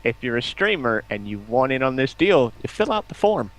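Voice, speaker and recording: A man's voice: mid-range voice, sing-song, moderate pace, fairly bright tone, low-quality recording. An American man talking casually as a guest on a stream. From United States. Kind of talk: casual chat.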